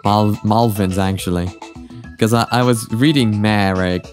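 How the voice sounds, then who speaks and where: A man's voice: lowish voice, steady tone, measured pace. A man hosting a casual reaction vlog, speaking in a hesitant, rambling way and often correcting himself. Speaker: host of a reaction channel. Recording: music behind the voice. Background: background music.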